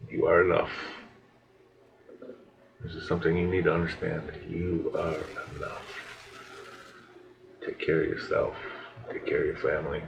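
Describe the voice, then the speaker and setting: A wise, calm kinsman from an ancient tale, voiced by a male storyteller. He consoles softly and plainly in a low, unhurried voice, on a dull recording. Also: vocal fry. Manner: soft, plain-spoken, consoling. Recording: dull lo-fi audio with background noise.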